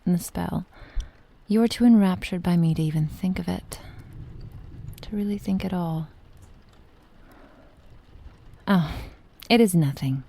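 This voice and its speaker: Woman acting a low, creaky, doting witch. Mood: calm, possessive. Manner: soft, unhurried, affectionate, often dropping to a whisper. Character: possessive, doting witch, seductive and softly menacing. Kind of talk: intimate ASMR roleplay.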